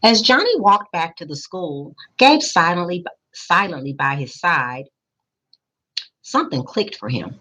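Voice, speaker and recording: Low-voiced, husky woman reading aloud. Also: bright, forceful tone, rough recording. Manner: teacherly, pausing to question young listeners. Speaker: school principal reading aloud to children. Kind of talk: expressive picture-book read-aloud with questions to listeners.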